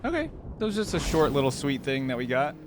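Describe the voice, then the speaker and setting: A man's voice: mid-range voice, big pitch swings, fast talker, background noise. A man giving casual reaction commentary as host of a video.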